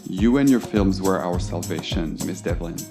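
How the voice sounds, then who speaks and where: A man's voice: deep voice, moderate pace, over music. An actor playing an ardent film director reading out his florid, reverent love letter in an audio drama. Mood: impassioned devotion. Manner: ornate, reverent, literary delivery. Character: French film auteur, ardent, florid romantic letter writer.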